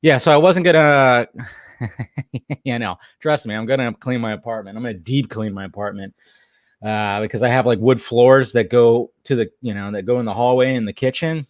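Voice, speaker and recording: Hoarse, chatty man live streaming from home. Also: medium pitch, moderate pace, no echo, studio quality. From Southern California. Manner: rambling, chatty, reads chat aloud, laughs often. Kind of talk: casual live stream chat. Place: at home in his apartment.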